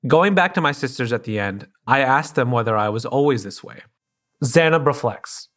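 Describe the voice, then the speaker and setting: A man reporting and narrating a personal story for a podcast, in a calm, thoughtful, plainly spoken way. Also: mid-range voice, normal speed. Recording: music clearly under the voice.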